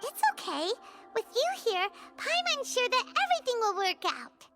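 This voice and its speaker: A woman voicing a tiny, excitable, childlike floating fairy companion in a video game, squeaky and exclamatory. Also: normal speed, heavily acted. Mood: eager, reassuring. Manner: exclamatory, refers to self in third person. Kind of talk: video game cutscene voice acting. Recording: loud music behind. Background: game background music.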